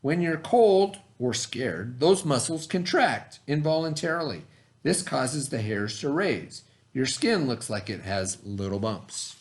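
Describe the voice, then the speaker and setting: A middle-aged man reading a lesson aloud in an instructional way, pausing to explain and repeat key terms. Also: mid-range voice, expressive. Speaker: teacher reading aloud to children.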